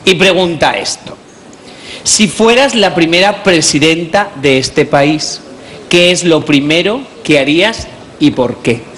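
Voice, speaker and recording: A man judging a televised pageant, quizzing and flattering contestants playfully. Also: on the high side, slow. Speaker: judge on a pageant show. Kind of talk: quiz questioning of contestants. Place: TV studio stage with live audience. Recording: music and crowd behind.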